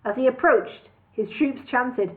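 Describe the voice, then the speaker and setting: A woman reads a comic story aloud, playful and chatty between passages. Her voice sits fairly high. The sound is narrow and tinny, like a phone line. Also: measured pace. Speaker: reader of a parody novel. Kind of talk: casual livestream audiobook reading.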